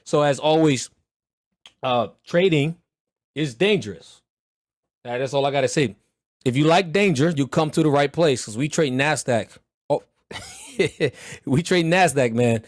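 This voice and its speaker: A man with a hoarse, recovering voice teaching a live trading mentorship session, talking in a loud, blunt, slangy, motivational way. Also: on the high side, measured pace, pauses often, no room sound, studio quality. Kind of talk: livestreamed mentorship talk.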